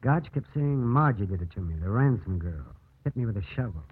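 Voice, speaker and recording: An actor playing a grave, matter-of-fact small-town doctor in a vintage radio drama. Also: male voice, fairly low pitch, lively intonation, a little fast, slightly rough, dry recording. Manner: grave, factual. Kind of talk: 1940s-50s radio acting. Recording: muffled old radio broadcast.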